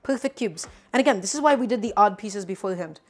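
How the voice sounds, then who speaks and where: A woman's voice: medium pitch, expressive, fast. A woman in her twenties hosting a casual cooking livestream, teasing her viewers and explaining each step while she chops. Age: 20s. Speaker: cooking streamer.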